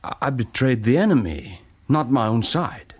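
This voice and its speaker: Half-German ex-spy, male, mid-pitched, reflective, halting, persuasive, quietly self-justifying, naturalistic radio drama. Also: big pitch swings, breathy edge. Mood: reflective, defensive. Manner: deliberate, halting, argumentative.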